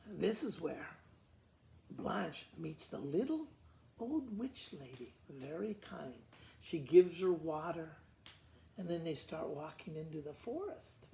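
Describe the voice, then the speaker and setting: A man's voice: on the high side, expressive, slow, creaky, mellow. A man telling a children's story in a simple, conversational way, informally explaining it as he goes. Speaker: school principal reading to pupils. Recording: roomy echo, faint background noise.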